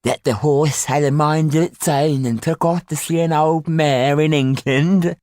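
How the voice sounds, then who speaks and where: Male reader as coarse storybook jailer, raised pitch, loud, mocking, dry sound. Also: fairly even intonation, unhurried, no room sound, close to an everyday voice.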